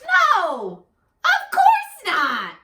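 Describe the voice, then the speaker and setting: Woman as storybook dad frog, firm, teasing, emphatic, very lively pitch, echoey room. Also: very slow, heavy background noise, heavily acted. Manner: emphatic, point-by-point refusals. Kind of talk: children's storybook read-aloud.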